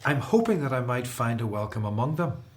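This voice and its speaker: An actor playing an earnest, resolute fugitive prince of ancient Egypt in a radio drama. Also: male voice, medium pitch, sing-song, brisk, lightly acted. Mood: earnest, determined.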